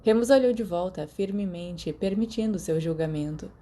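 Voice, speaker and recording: Mellow Brazilian woman narrating a story. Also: on the low side, moderate pace, slight background noise. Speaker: podfic narrator. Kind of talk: scripted audiobook narration of fan fiction.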